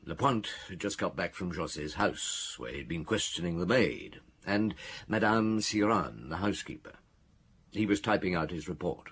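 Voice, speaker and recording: An actor playing a senior police detective in a British radio drama, with a wry, measured, authoritative manner. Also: male voice, low-pitched, animated, fairly bright tone, husky, exaggerated voice. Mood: matter-of-fact. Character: dry, unhurried senior male police detective. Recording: noisy radio drama recording.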